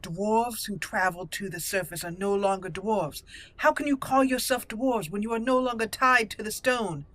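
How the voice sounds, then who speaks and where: Bright, haughty noblewoman played by a woman gamer. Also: mid-range voice, moderate pace, dry recording, natural voice. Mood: proud, stern. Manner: formal, lofty. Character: proud, haughty young noblewoman raised among dwarves.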